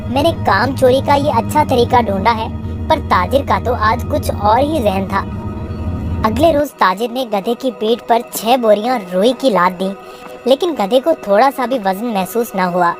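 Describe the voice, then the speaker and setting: A woman's voice: on the high side, moderate pace. A woman reading a scripted moral tale for children as the storyteller. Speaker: narrator. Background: music.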